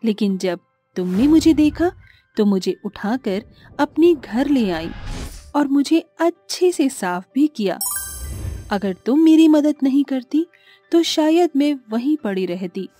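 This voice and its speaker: Lady playing kind fairy in a moral cartoon, gentle n measured, highish voice, music under. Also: animated. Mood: grateful, gentle. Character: kind, grateful magical doll fairy, female. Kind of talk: animated moral-story voice acting.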